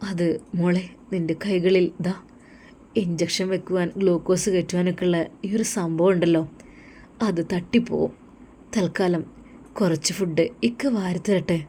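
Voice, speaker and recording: A woman voicing a gentle, caring husband who speaks softly and tenderly to his wife. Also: on the low side, fairly even intonation, moderate pace, slight background noise, close to an everyday voice. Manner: soft, tender, reassuring.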